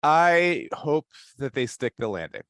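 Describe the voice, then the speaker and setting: A man giving measured, halting opinions as a panelist in a casual podcast discussion. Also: medium pitch, big pitch swings, fairly bright tone, clean tone, no echo, studio quality.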